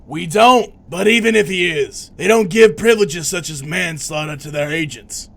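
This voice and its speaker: A blustering, sardonic police detective acted by a man talks in a high voice for a man, with a gravelly rasp. He is cocky and bossy, as heard in a comic dub. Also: normal speed, a bit bright. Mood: wry. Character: brash, sarcastic, cocky male police detective.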